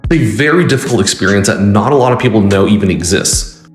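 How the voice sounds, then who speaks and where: Low-voiced man explaining, roomy sound. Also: lively intonation, soft background music. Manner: measured and explanatory. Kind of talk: explainer video.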